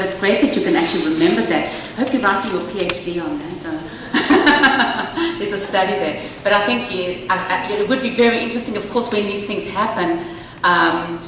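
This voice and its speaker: Woman, keynote Q&A, lower pitch, distant mic, reverberant hall, some background noise. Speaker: keynote speaker answering audience questions. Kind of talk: conference Q&A. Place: university lecture hall.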